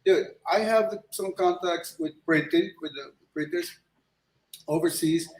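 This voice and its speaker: A man's voice: on the high side, a little slow. A middle-aged man from Ecuador, a guest illustrator, chatting in a loose, rambling way with lots of restarts. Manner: rambling, many restarts and fillers. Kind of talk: casual interview chat. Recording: echoey room.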